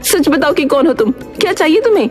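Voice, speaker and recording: A woman's voice: high-pitched, expressive, brisk, a little mellow, faint music behind, pitched above the performer's own voice. A woman voicing an emotional, fiercely protective mother in a story drama, speaking emphatically. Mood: accusing.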